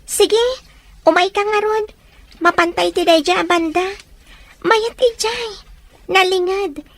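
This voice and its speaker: A woman's voice: very high-pitched, takes it slowly, no room sound, exaggerated voice. A woman playing a poor, resilient young orphan in an audio drama, plain-spoken and caring, with a northern Philippine accent. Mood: concerned, curious. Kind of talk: acted audio drama dialogue. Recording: faint background noise.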